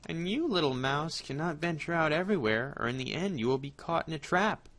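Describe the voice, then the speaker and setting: A woman's voice: sits low, animated, fairly quick, voice pushed up. A woman voicing a sly, greedy male cat who talks his way around a trusting mouse. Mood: persuasive.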